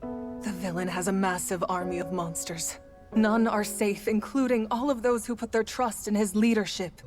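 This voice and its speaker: A woman's voice: mid-range voice, fairly even intonation, moderate pace, over music, natural voice. Solemn, dignified female game warrior woman acting, medium register, proud bearing. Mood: solemn, pleading. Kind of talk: video game cutscene acting.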